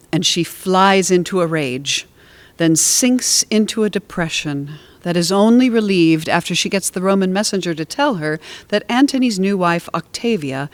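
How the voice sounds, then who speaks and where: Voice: mid-range voice, no room sound. Dry, mid-register storytelling narrator. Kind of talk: scripted narration, story synopsis.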